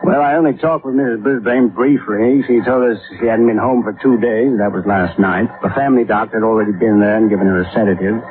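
An actor playing a steady, cooperative police sergeant in a 1950s radio drama, plain-spoken and procedural. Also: male voice, lowish voice, quick pace, few pauses, natural voice. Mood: cooperative, matter-of-fact. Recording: tinny old radio broadcast, faint noise.

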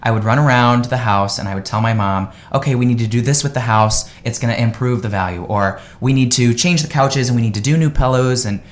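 A man's voice: mid-range voice, brisk, hardly any pauses. Upbeat, enthusiastic man guesting on live radio. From United States. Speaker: guest, real estate agent.

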